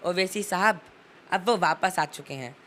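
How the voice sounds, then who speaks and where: A man's voice: high-pitched, measured pace, pushed and bright. A teenage young man giving impassioned political commentary in an interview, emphatic and oratorical, leaning on rhetorical repetition.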